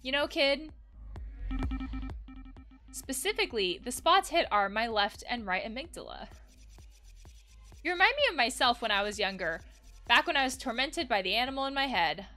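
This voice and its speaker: Reckless game party friend, read by a woman, swaggering and foul-mouthed, bright and animated delivery. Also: on the high side, normal speed, long pauses, faint music behind. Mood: cocky. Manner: goading, emphatic, theatrical reading.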